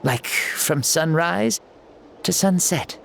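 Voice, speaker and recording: A man's voice: fairly high pitch, animated, slow pace, vocal fry, fairly bright tone, slight background noise, heavily acted. An actor playing an ancient hero reborn in a boy's body, a playful and boastful old soul with a jaunty, theatrical delivery in a video game. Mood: breezy, amused. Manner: jaunty, self-mocking, theatrical. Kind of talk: scripted video game story dialogue.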